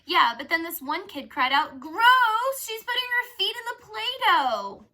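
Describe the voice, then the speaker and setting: A woman's voice: extremely high pitch, big pitch swings, a little slow, bright, forceful tone, voice pushed up, exaggerated voice. A woman voicing a frank, curious, chatty young teen girl in a children's storybook. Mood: animated. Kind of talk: storybook character reading.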